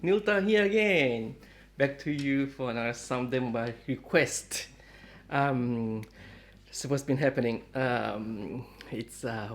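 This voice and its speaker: Fifties male host, rambling and friendly, mid pitch, lots of fillers. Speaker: guitar dealer and channel host. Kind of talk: casual chat to camera. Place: home in Melbourne, Australia.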